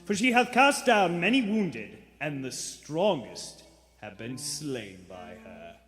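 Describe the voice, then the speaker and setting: Echoing stage, sinister pious lodger, formal and severe, highish pitch, radio play. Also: male voice, very animated, slow, faint music behind, exaggerated voice. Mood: fierce, righteous. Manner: formal, severe, theatrical, with sudden sharp outbursts. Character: menacing, pious gentleman lodger, abruptly severe then soft. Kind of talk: live radio play acting. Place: theatre stage before a live audience. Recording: echoey theatre stage with long reverb.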